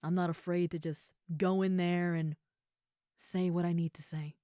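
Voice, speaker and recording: A gentle giant of an ogre girl voiced by a woman, speaking in a slightly low voice, very close and dry. She is tender and sincere, pausing as she searches for words and often dropping into breathy softness, as in a comfort roleplay. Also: level tone, no room sound, close to an everyday voice. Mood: tender, hesitant. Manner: soft, breathy asides, halting, tender, sincere. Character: gentle, affectionate giant female ogre roommate, shyly confessing. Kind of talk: intimate comfort roleplay audio.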